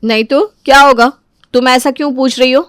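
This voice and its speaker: A woman's voice: high voice, fairly even intonation, a little fast, clear, resonant tone, no room sound, studio quality, close to an everyday voice. A woman playing a resentful, stubborn elder daughter-in-law who grumbles and argues sarcastically in a dramatized moral story. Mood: defensive.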